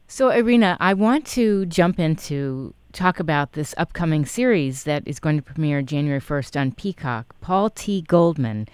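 Smooth-voiced interview host. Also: mid-range voice, animated, moderate pace, hardly any pauses, dry recording. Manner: encouraging, reads promo copy. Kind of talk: interview chat.

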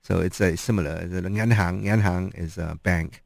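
A man's voice: low-pitched, fairly even intonation, normal speed, gravelly, dry recording, studio quality. A man interviewing a guest in casual chat, thoughtful and hesitant, with many ums.

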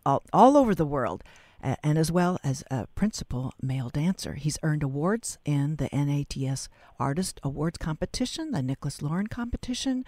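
A man with a slightly high voice reads a prepared guest introduction as host of an interview show. The sound is very dry and close, with no room around the words. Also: darkish tone, no room sound. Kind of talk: reading a scripted guest biography.

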